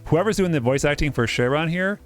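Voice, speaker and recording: A man's voice: a little high, animated, fairly quick. A man hosting a game playthrough, reading the text aloud and breaking off for sardonic, casual commentary.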